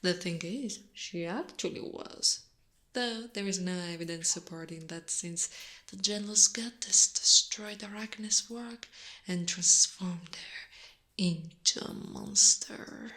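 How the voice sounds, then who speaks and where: A woman playing a possessive, predatory female spider monster in an ASMR roleplay, teasing and often slipping into a breathy half-whisper. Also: mid-range voice, slow, pushed and bright. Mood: sly storytelling. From Italy.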